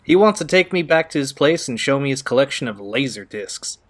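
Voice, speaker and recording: A man's voice: mid-range voice, expressive, a little fast, natural voice. Playful, breezy guy, acted by a man. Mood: playful, excited. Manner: folksy greetings, breezy. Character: Doug, playful, breezy, excitable male friend. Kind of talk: audio drama acting.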